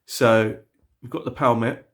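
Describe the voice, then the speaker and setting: A man's voice: mid-range voice, fairly even intonation, unhurried, a bit bright. A man with a cold, sounding sniffly, casually walking viewers around his model railway layout with lots of fillers and restarts. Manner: rambling, many fillers and restarts. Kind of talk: casual walkthrough video. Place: indoor hobby room with the layout.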